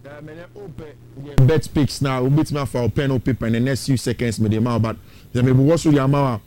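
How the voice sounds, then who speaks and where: A man's voice: medium pitch, steady tone, fast talker, mellow. A man with a Ghanaian accent giving opinionated, conversational sports preview commentary as a football pundit, slipping into local-language phrases.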